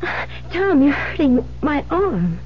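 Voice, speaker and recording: An American actress playing a frightened, anxious new bride who speaks hesitantly and evasively, in 1970s radio drama style. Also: female voice, sits high, very animated, takes it slowly, heavily acted. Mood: nervous, pleading. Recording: muffled, hissy old radio broadcast with hum.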